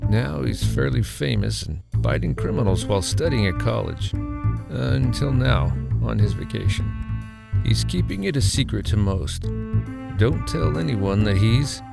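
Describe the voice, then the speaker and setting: A man's voice: mid-range voice, expressive, measured pace, gravelly. A man playing a hearty, exclamatory inventor uncle and secret outback warrior in an audio drama. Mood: confiding. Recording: music behind the dialogue.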